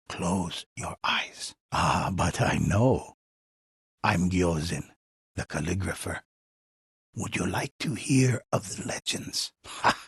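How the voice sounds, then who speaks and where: An actor playing an old calligrapher who tells legends in a video game, with a husky, gravelly voice and a measured, portentous manner. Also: male voice, deep voice, no echo, clean studio sound, full character voice. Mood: amused, inviting. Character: old male calligrapher storyteller of legends, calm and mysterious. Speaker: narrator of legends. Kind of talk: dramatic storytelling narration for a video game.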